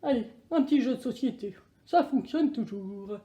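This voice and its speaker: A woman playing a childish, excitable, self-pitying character who talks to themselves in slang in a playful children's sketch. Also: a little high, moderate pace, echoey room, lo-fi sound, lightly acted. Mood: busy, curious. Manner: exaggerated, slangy, talking to self.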